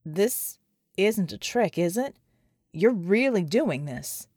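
Audiobook role: skeptical military man performed by a woman, lowered voice, blunt, folksy politeness, dry disbelief. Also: expressive, takes it slowly, a little mellow, dry recording. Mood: stunned disbelief. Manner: clipped, folksy, incredulous, says ma'am. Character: wary, skeptical American ex-soldier man, polite but blunt.

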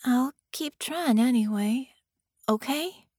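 Woman as a high-voiced, plaintive little girl. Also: takes it slowly, a little mellow, no room sound, studio quality. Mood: hesitant, determined. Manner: childlike, plaintive, simple grammar. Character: earnest, sad young child, a girl.

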